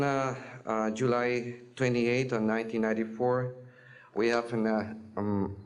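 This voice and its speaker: A man with a Mexican accent, a native Spanish speaker, giving testimony as an air traffic controller in hesitant, broken English, searching for words. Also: fairly low pitch. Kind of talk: interview testimony in halting English. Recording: music under the voice. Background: music.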